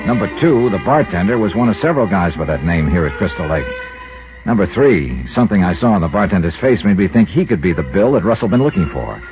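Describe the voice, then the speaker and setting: An actor playing a dry, world-weary insurance investigator in 1950s radio detective style, clipped and deadpan, with an American accent. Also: male voice, low-pitched, fast talker. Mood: brooding, matter-of-fact. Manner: clipped, hard-boiled, deadpan. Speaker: lead actor and narrator of a detective series. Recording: muffled 1950s radio recording, music behind.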